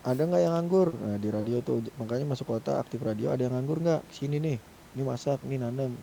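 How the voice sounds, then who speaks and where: A man's voice: medium pitch, quick pace, gravelly, noisy background. A man talking casually in a group discussion during an online game. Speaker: roleplay gang member helping lead a meeting.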